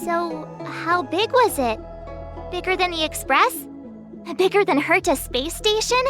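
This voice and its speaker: Curious high-voiced young girl adventurer. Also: lively intonation, measured pace, a bit bright, faint music behind, heavily acted. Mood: curious, wondering. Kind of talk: video game character acting.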